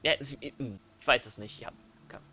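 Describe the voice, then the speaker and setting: A man's voice: mid-range voice, fast, pushed and bright, a little hiss or hum. A German man hosting a let's play, chatting casually over a game. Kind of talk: casual gaming commentary.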